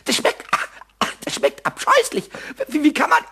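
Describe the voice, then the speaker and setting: A man playing a small childlike kobold in a German radio play, naive and melodramatic, with a squeaky croak and stammered, repeated exclamations. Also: wildly swooping pitch, a little slow, sharp tone, a little hiss or hum, exaggerated voice. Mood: curious, musing.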